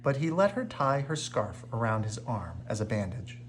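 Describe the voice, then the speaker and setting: A man reading a children's bedtime story aloud with expressive narration. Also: on the low side, normal speed, a little hiss or hum. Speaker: storybook reader.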